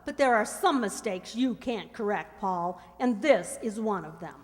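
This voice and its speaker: A woman's voice: medium pitch, expressive, measured pace, close to an everyday voice. A woman playing a brassy, scheming waitress mistress in a radio mystery drama, with a slangy, emphatic, dramatic delivery. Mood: bitter, determined. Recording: reverberant hall stage recording.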